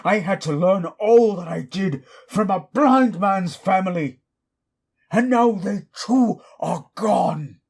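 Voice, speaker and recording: Casting: a wrathful, lonely undead creature for audio drama; husky voice, slow and theatrical delivery, formal speech. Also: male voice, sits high, lively intonation, darkish tone, exaggerated voice. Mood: anguished grief. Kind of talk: dramatic audio roleplay monologue.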